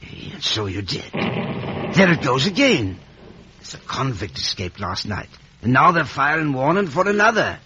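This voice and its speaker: An actor playing a kindly, meek village blacksmith with a gentle, hesitant, folksy way of talking in a radio drama. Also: male voice, mid-range voice, sing-song, measured pace. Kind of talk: 1950s radio drama acting. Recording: muffled, noisy vintage radio recording.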